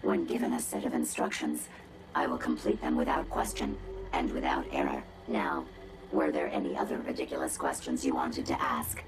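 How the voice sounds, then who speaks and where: A woman's voice: rumbling low, moderate pace, soft background music. An actress playing a haughty, condescending female robot with a robotic filter, sardonic, precise and pompous in a video game. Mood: smug, sardonic. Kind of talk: video game cutscene acting.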